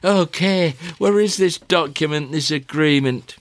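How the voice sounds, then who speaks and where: A man's voice: fairly high pitch, measured pace, no echo, close to an everyday voice. A man playing an accused poet in a theatrical interrogation, agitated, defiant and faltering as he protests. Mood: wary, eager. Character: accused poet, panicky, defiant, whiny suspect. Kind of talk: theatrical performance-poetry interrogation dialogue.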